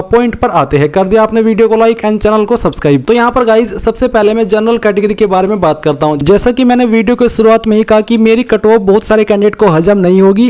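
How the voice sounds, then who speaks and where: A man's voice: sits high, darkish tone, clear, resonant tone. An Indian man hosting an informal explainer for viewers, talking conversationally and repeating his points, with English words mixed in. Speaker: YouTube host giving exam information.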